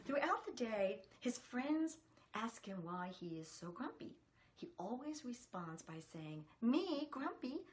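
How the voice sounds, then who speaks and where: Animated, slow-paced woman reading a storybook. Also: mid-range voice. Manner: expressive, addresses young listeners with questions. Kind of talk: animated picture-book read-aloud.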